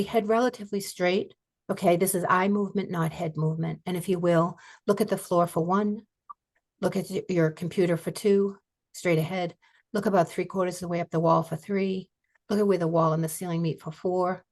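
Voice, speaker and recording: An older woman leading a guided tapping session over a video call, speaking slowly and calmly with phrases for her client to repeat. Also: fairly low pitch. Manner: slow, calm, repeats phrases for client to echo. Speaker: EFT tapping practitioner. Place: home office.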